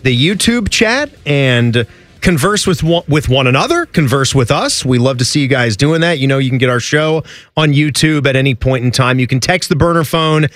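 A man's voice: medium pitch, a bit bright, dry recording, studio quality. A man co-hosting a sports radio show, casually joking and reading listener email aloud. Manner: jokey, reads letters aloud, quick comebacks.

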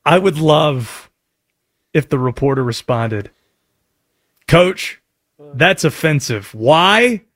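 A man with an American accent hosting sports talk in an emphatic, animated way, often asking rhetorical questions. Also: fairly high pitch, takes it slowly, pauses often. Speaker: sports talk show host.

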